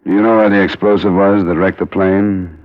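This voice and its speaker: Actor as a low-voiced hard-boiled American investigator. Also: male voice, steady tone, brisk, close to an everyday voice. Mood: skeptical questioning. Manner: terse, matter-of-fact, clipped questioning. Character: hard-boiled male insurance investigator, cool and skeptical. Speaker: lead actor and first-person narrator. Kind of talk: 1950s American radio crime drama acting. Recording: muffled old radio recording, music behind.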